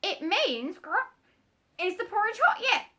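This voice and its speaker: A woman voicing a proud, bossy female parrot who squawks and talks in a sharp, emphatic way. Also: very high voice, very animated, measured pace, voice pushed up, heavily acted. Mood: squawky, bossy.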